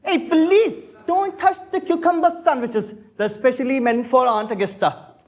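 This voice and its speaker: Idle, pompous young gentleman for a stage comedy of manners, played by a man; high-pitched, witty. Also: moderate pace. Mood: witty, bossy. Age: young adult. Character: idle, witty young Victorian gentleman, flippant and self-assured. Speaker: student actor in a stage play.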